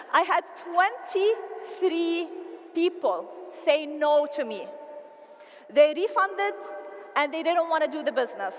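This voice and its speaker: A woman with a Lebanese accent giving an animated, playful motivational speech at a convention and rallying the crowd. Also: high voice, slow, long pauses, slight background noise. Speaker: convention speaker. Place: large convention hall. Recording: reverberant hall, faint ambience.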